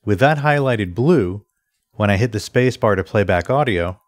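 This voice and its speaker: A man presenting an instructional software screencast in a methodical, step-by-step way. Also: lowish voice, expressive, moderate pace, dry recording. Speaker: tutorial presenter.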